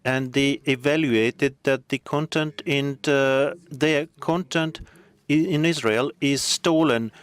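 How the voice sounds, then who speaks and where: A man speaking on a conference panel, measured and a little hesitant. He talks slowly, and his voice is smooth and resonant. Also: mid-range voice, fairly even intonation. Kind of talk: panel discussion.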